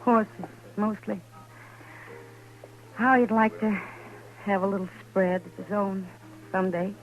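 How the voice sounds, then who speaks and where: A woman's voice: mid-range voice, steady tone, measured pace, faint music behind, lightly acted. Lady acting frank frontier gal, tender but defiant, 1950s radio western, muffled lo-fi recording. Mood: wistful, regretful. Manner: plain-spoken, understated.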